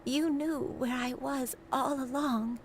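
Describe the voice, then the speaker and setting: A woman voicing an earnest, remorseful young crane disciple in a video game, solemn, reflective and halting. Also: high-pitched, steady tone, slight background noise. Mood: regretful realization. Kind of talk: dramatic video game voice acting.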